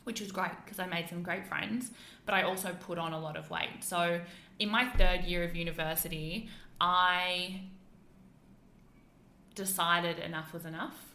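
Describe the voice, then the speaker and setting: Bright young woman, British-Australian accent. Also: on the low side, steady tone, measured pace, reverberant, a little hiss or hum. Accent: mixed British and Australian. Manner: chatty, laughs often, many fillers. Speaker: guest. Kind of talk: casual interview chat.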